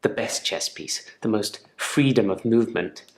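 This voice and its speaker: An actor playing a controlled, quietly menacing therapy patient in a game drama, speaking in a measured, deliberate and politely probing way. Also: male voice, on the low side, roomy sound, lightly acted. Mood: calm, reflective. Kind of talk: live-action game drama monologue.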